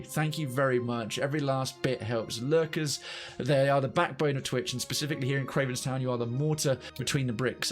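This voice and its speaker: A man hosting a game livestream, chatting casually with his viewers and repeating phrases for emphasis. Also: mid-range voice.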